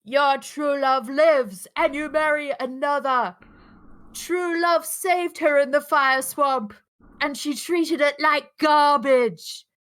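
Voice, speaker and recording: Woman acting ancient storybook crone, furious, jeering, high shrieking voice, slow contemptuous delivery. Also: a bit bright, low-quality recording. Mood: scornful fury. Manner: shrieking, drawn-out contemptuous declaiming. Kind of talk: amateur table read acting.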